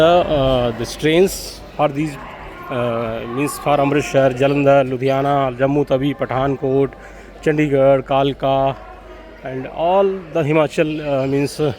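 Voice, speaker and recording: A man's voice: a little high, a little mellow. A man with an Indian accent gives an informal walk-through commentary as a travel vlogger, explaining things in a repetitive, hesitant way. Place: public railway station, on the move. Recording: busy station crowd and vehicle noise.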